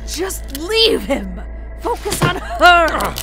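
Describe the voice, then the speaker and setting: A woman playing a commanding, hostile figure in a fantasy audio drama, urgent and imperious, with loud music behind her. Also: very high voice, very animated, very slow, full character voice. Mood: urgent, angry.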